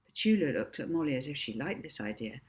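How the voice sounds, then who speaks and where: A woman narrating a children's novel as its author, in an expressive audiobook style. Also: deep voice, fast talker.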